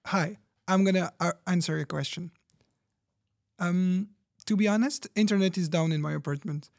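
Man playing a higher-voiced young logic devotee. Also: steady tone, normal speed, gravelly. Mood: earnest, self-assured. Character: logic-obsessed, proud, hardworking young guest from rationalist community. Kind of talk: fiction podcast backstory monologue.

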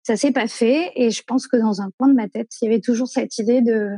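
A French woman in her thirties, telling about family travels in a relaxed, rambling way with many fillers and self-corrections. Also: a little high, a little fast, husky, no echo. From France. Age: 30s. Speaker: guest. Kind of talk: casual conversational interview.

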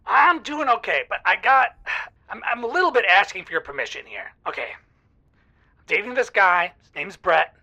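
An actor playing an exasperated, lovelorn caller to a radio advice show, talking in dramatic, emphatic bursts with halting restarts. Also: medium pitch, very animated, sharp tone, full character voice. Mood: exasperated venting. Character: exasperated, lovelorn caller venting about a boyfriend. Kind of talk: semi-improvised audio drama, radio call-in.

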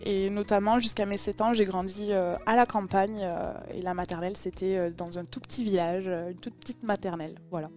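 A woman's voice: mid-range voice, steady tone, brisk, hardly any pauses, darkish tone, soft background music. A woman with a southern French accent from Toulouse, a podcast guest chatting casually with many fillers. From Toulouse, France. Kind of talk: casual interview chat.

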